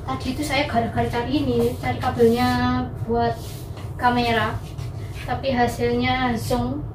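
A young woman chatting casually to viewers in a halting, self-correcting way, often asking them questions. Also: a little high, flat delivery. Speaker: vlogger. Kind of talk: casual vlog chat, testing a microphone. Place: indoors, hard-walled rooms at home. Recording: echoey room, distant mic, clear background noise.

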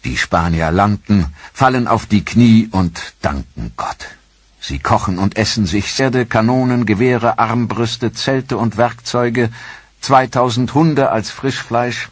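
Tiefer, rauer Erzähler einer Geschichtsdoku. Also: male voice, lowish voice, slightly rough, dry recording. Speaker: documentary narrator. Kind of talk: scripted historical documentary narration.

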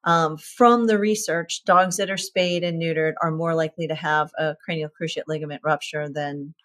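A woman in her fifties, a veterinarian guest, explaining clearly in an interview and using vivid comparisons and repetition for emphasis. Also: low-pitched, fairly even intonation, normal speed, a bit bright.

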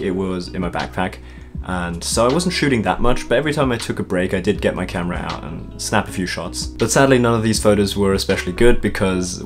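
Young male vlogger, lowish voice, easygoing narration over a music bed. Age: young adult. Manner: relaxed, chatty, laughs at himself. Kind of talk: casual travel vlog narration. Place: bedroom, indoors.

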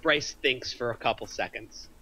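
Bright-voiced man narrating a script. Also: mid-range voice, moderate pace. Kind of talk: reading stage directions aloud. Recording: faint background noise.

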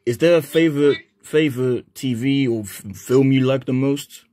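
A woman fan asking halting questions on a video call, repeating words as she goes. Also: very deep voice, mellow, no room sound. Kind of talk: casual video call chat.

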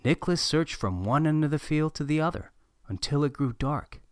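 A man narrating a children's picture book aloud as a read-aloud host, in an animated, expressive storytelling manner. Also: fairly high pitch, normal speed, no echo, studio quality.

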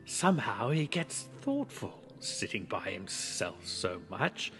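An actor playing a tender, wistful poor father in a storybook reading, hushed, halting and tremulous. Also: on the low side, very animated, faint music behind, full character voice.

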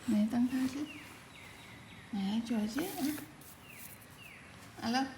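A woman's voice: high-pitched, steady tone, slow, dark tone. A woman chatting casually with a small child, coaxing with short, repeated prompts. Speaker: family member filming a young child. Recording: roomy echo, faint background noise.

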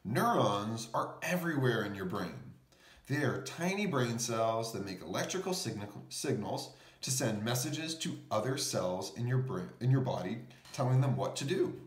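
A man's voice: mid-range voice, sing-song, moderate pace, roomy sound. A man reading a children's picture book aloud, explaining things as he goes. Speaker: storybook reader.

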